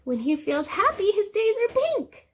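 A young woman reading a picture book aloud to small children, expressive and sing-song, explaining things simply as a teacher would. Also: very high voice, unhurried, slight background noise. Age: young adult. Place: small indoor room.

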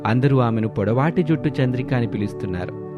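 A man's voice: mid-range voice, quick pace, lo-fi sound. Male storyteller over background music. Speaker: narrator. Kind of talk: scripted moral story narration.

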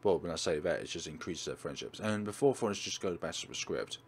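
Low-voiced man guiding through a game. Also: lively intonation, fast talker, gravelly, breathy edge. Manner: instructional, step by step. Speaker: let's play host. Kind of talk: game walkthrough commentary.

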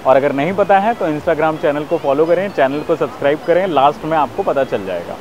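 A man's voice: a little high, animated, fairly quick, darkish tone, dull audio, slight background noise. A man, talking casually and enthusiastically to his viewers as a travel vlogger, urging them on. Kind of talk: casual vlog talk to viewers. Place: outdoors, on the road and by a waterfall.

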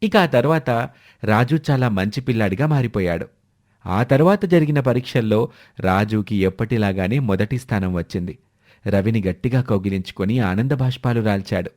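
A man's voice: on the low side, lively intonation, normal speed, a little mellow. A man narrating a scripted moral story for children as a storyteller.

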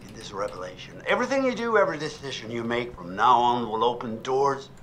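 An actor playing a grave middle-aged psychoanalyst in a horror game, speaking in a measured, deliberate, ominous way. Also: male voice, mid-range voice, very animated, a bit bright, slight background noise. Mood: grave, insistent. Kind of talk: cinematic horror game monologue.

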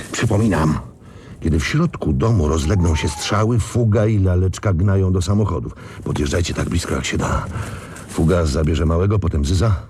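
An actor playing a commanding, sly gang leader in a Polish radio crime drama, with a husky, gravelly voice. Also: male voice, low-pitched, darkish tone, exaggerated voice. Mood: brisk, commanding.

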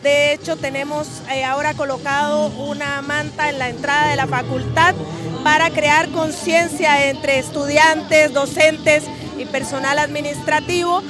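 Middle-aged woman academic, measured speech. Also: sits high, steady tone, music in the background. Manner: measured, explanatory. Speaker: psychology professor. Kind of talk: street interview. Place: outdoor street march. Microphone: handheld interviewer mic.

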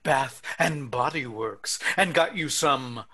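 A man's voice: mid-range voice, sharp tone. A man reading an eager, naive, lovestruck young man in a comedy table read. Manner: eager, slow, giggly.

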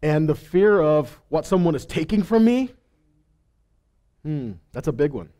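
Male preacher, middle-aged, warm dark timbre, emphatic teaching with rhetorical questions, headset mic. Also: on the high side, big pitch swings, unhurried. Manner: emphatic, rhetorical questions, stresses key words. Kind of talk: sermon, conversational teaching. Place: stage in a congregation hall.

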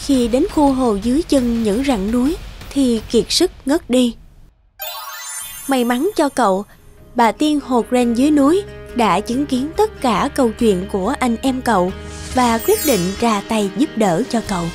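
A woman's voice: fairly high pitch, animated, unhurried, a little mellow, soft background music. A woman giving scripted storybook narration for a children's fairy tale.

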